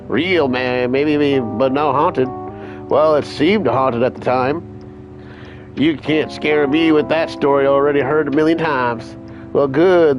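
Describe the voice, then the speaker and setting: A talkative mover swaps spooky stories on a late-night drive, as a man reads the lines aloud off the screen. The delivery is quicker and a bit higher than his usual talk. Muffled stream audio with loud game music underneath. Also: close to an everyday voice. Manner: reading game dialogue aloud, brisk.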